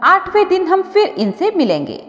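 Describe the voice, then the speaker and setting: A woman storyteller voicing a calm, wise, patient male god who counsels in a measured way in a devotional tale. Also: very high-pitched, pitched above the performer's own voice, heavily acted. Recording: dull audio with long reverb.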